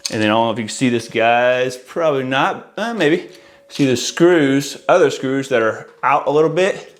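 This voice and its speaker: A man's voice: medium pitch, animated, normal speed, slight background noise. A man in his forties giving an informal, explanatory product walkthrough as an installer, casually addressing viewers. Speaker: audio installer presenting a product. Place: small room indoors, home office. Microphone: lavalier clipped on shirt.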